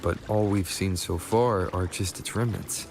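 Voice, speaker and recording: A man voicing a calm, steady, determined young adventurer in a video game. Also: low voice, a little slow. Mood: calm, inquiring. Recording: loud game music over voice.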